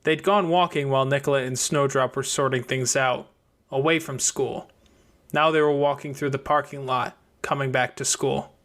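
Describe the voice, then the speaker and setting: A man's voice: medium pitch, fairly even intonation, moderate pace, fairly bright tone. A man reading a scripted fiction audiobook as its narrator. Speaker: audiobook reader.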